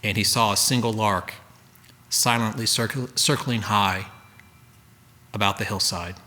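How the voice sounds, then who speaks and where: A man's voice: on the low side, steady tone, unhurried, pushed and bright, slight background noise. A man reading solemn scripted narration at a church service. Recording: long hall reverb.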